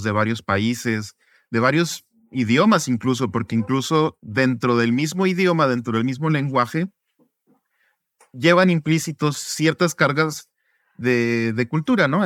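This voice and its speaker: A man from Mexico, a podcast guest, answering reflectively in a relaxed interview and often ending points with tag questions. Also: medium pitch, no echo, clean studio sound. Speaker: podcast guest, student advocate.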